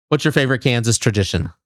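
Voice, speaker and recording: A man's voice: medium pitch, no echo, studio quality. Easygoing man hosting an interview. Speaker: podcast host. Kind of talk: casual interview, rapid-fire questions.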